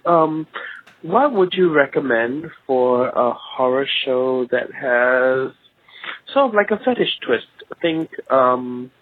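A man's voice: mid-range voice, lively intonation, slow pace, a little hiss or hum. Tinny phone-line man, casual and rambling. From Singapore. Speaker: phone-in listener leaving a voicemail.

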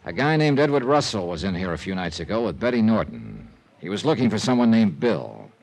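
A man's voice: fairly low pitch, sing-song, brisk, darkish tone, low-quality recording. An actor playing a hard-boiled insurance investigator who narrates his own case in a 1950s radio drama, dry and wry. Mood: probing. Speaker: lead and narrator. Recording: old radio broadcast with music beneath.

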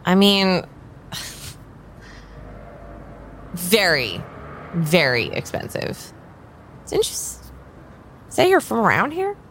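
An actress playing a jaded, reluctant tarot reader in a naturalistic improvised audio drama, hesitant and exasperated. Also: female voice, fairly low pitch, wildly swooping pitch, slow pace, pauses often, a bit bright, slight background noise, exaggerated voice. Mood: incredulous. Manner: hesitant, sighing, muttering.

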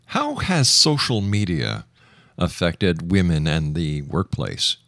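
A man's voice: low-pitched, huge pitch swings, slow pace, vocal fry, fairly bright tone, dry recording, clean studio sound. A man hosting a radio interview, putting questions to his guest in a conversational way.

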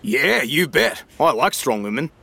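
An actor playing a slippery, matey Australian financial dealer in an audio drama, full of slangy, glib sales patter. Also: male voice, a little high, very animated, measured pace, vocal fry, bright, forceful tone, slightly rough, dry recording, heavily acted. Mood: glib, amused.

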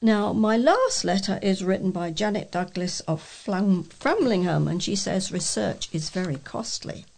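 A British woman reading readers' letters aloud as a volunteer local newsreader, with a measured delivery and the occasional stumble. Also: medium pitch, expressive, gravelly, a little mellow.

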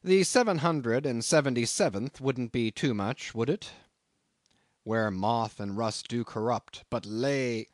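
Stingy old religious shipowner for an audiobook, played by a man; grave, very slow, sermonizing in thee and thou. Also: mid-range voice, dry recording, studio quality, close to an everyday voice. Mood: droning, sepulchral. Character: stingy, pious, solemn old Quaker shipowner. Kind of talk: audiobook character acting.

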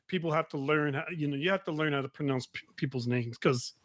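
Male podcast host, higher register, casual and halting interviewer, close dry sound. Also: fairly bright tone, dry recording. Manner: halting, repeats and rephrases questions.

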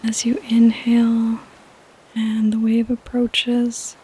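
Female meditation podcast host, slightly high but hushed and breathy, soothing, very slow. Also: completely flat delivery, rough recording. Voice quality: breathy, often near a whisper. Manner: soothing, gentle, unhurried. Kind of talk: soft-spoken guided sleep meditation.

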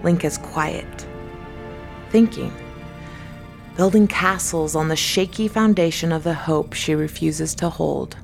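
A woman's voice: low-pitched, slow, gravelly, long pauses, darkish tone, soft background music. A woman narrating a scripted dramatic story as the narrator of an audio drama.

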